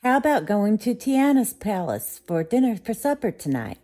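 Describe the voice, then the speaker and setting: A woman's voice: medium pitch, very animated, normal speed, warm tone, voice pushed up, natural voice. A woman voicing a jolly, doting rich father in a bedtime storybook.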